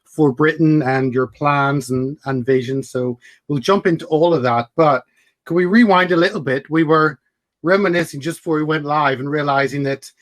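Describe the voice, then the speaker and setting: A man's voice: on the high side, normal speed, no echo. A man with a Northern Irish accent hosting an interview, friendly and repetitive with fillers. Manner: friendly, repeats words, fillers. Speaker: podcast host. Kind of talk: interview chat.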